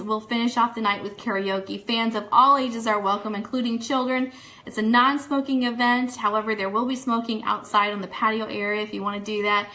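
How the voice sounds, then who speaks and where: A woman's voice: fairly high pitch, bright, forceful tone. A woman hosting a live online radio show, chatting through fan news and announcements in an upbeat, enthusiastic way that speaks straight to listeners. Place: studio.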